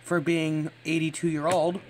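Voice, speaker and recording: A man's voice: a little high, little pitch movement, measured pace, noisy background. A man giving a casual, unscripted show-and-tell as a vlogger, rambling along in a matter-of-fact way. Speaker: vlogger showing an antique radio.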